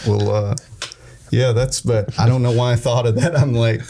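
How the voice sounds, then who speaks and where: Chatty Southern host who laughs a lot. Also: male voice, medium pitch, fairly even intonation, normal speed, dark tone, a little hiss or hum. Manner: chatty and self-deprecating, laughs often. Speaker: podcast host. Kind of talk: casual interview chat. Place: recording studio.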